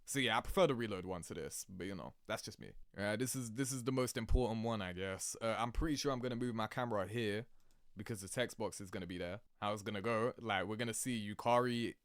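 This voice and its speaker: A man giving casual, slangy, animated reaction commentary as a video host. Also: fairly low pitch, fast talker, dry recording, studio quality.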